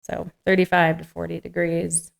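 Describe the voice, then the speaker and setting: A woman's voice: lowish voice, completely flat delivery, normal speed, creaky. A woman co-hosting a podcast with informal, jokey conversational banter. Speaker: podcast co-host. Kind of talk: casual co-hosted podcast banter.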